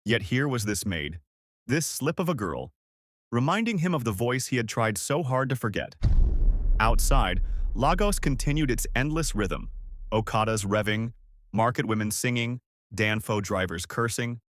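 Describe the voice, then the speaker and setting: A man's voice: medium pitch, animated, normal speed, fairly bright tone, dry recording, studio quality. A man narrating a scripted dramatic story as a storyteller.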